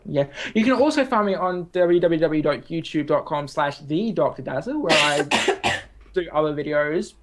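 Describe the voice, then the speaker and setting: A woman's voice: low voice, animated, moderate pace. Joking woman co-hosting a podcast call. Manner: joking, self-mocking. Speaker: podcast co-host. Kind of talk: casual chat.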